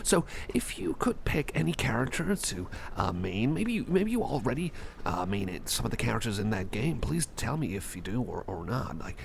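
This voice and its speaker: A man's voice: mid-range voice, big pitch swings, normal speed, slight background noise. A man playing a goofy, anxious, sleep-deprived friend in an ASMR roleplay, rambling and repeating himself in a nervous, stammering way, often slipping into whispers. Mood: chatty, eager. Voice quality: often drops into breathy whispers. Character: jittery, over-caffeinated, sleep-deprived, goofy and anxious male friend in a library.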